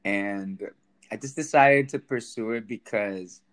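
Chatty young man, low-voiced. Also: unhurried, clean tone. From Sacramento, United States. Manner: chatty, self-deprecating, laughs often. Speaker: guest, stand-up comedian. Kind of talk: casual podcast chat.

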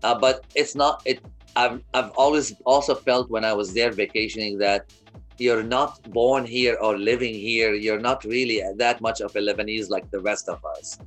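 Interview guest, man in his fifties from Kuwait, lowish voice, earnest, restarts a lot, faint music underneath. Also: fairly even intonation, moderate pace, a bit bright. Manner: earnest, repeats words and restarts often. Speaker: guest, media company founder. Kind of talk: casual interview storytelling.

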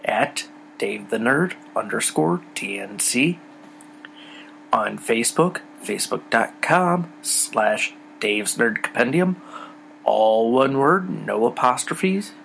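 A man's voice: mid-range voice, creaky, fairly bright tone, a little hiss or hum, poor audio. A man hosting a solo podcast, talking casually and conversationally straight to his listeners. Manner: conversational, addresses listeners directly. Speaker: podcast host.

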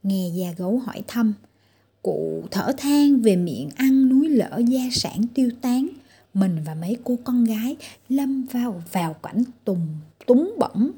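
Dark, husky woman telling a fairy tale. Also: a little high, lively intonation, unhurried. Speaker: storyteller for children. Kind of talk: scripted fairy-tale narration.

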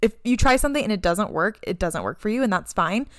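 A woman's voice: mid-range voice, expressive, fast talker, a bit bright, dry recording, studio quality. Chatty upbeat woman in her twenties. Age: 20s. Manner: chatty, upbeat, many "like" fillers. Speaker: podcast co-host. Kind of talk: casual chat.

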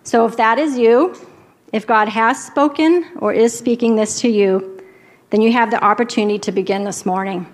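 A woman's voice: fairly high pitch, normal speed. A woman announcing the ways to give at a church service and then leading a short offering prayer. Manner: plain, practical, then prayerful.

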